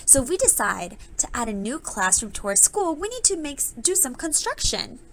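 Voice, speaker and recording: A woman's voice: high-pitched, big pitch swings, moderate pace, fairly bright tone, a little hiss or hum. A woman teacher leading a read-aloud reading lesson for children, explaining things step by step in an instructive way. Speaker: elementary school teacher.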